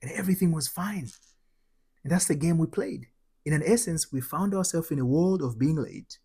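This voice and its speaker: Husky Tanzanian man teaching with emphasis. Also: a little high, animated, moderate pace, warm tone. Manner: emphatic, rhetorical questions, repeats phrases. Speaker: host, spiritual transformation coach. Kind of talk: motivational spiritual teaching with personal anecdotes.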